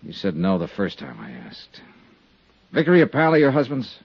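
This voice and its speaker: An actor playing a hard-boiled, persistent American insurance investigator in a 1950s radio drama, clipped and matter-of-fact. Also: male voice, medium pitch, wildly swooping pitch, moderate pace, slightly rough, no echo, heavily acted. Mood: probing. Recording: dull vintage 1950s radio recording.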